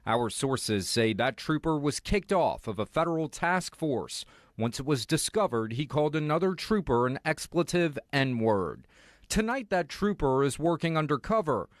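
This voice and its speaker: A man's voice: a little high, no echo, studio quality. A man with an American accent reading a scripted investigative news report as a TV reporter.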